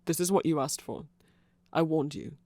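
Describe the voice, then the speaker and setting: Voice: mid-range voice, steady tone, normal speed, a little mellow, no echo. A speaker giving an off-the-cuff, nerdy ASMR explanation, rambling along with asides and self-corrections, close to the microphone. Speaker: ASMR audio creator. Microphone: close microphone in a dry space.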